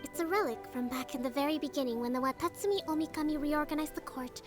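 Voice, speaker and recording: A woman playing a gentle, composed young priestess leader in a video game, speaking in a measured, formal and polite way. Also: high-pitched, lightly acted. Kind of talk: video game acting, expository dialogue. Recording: soft game music behind the voice.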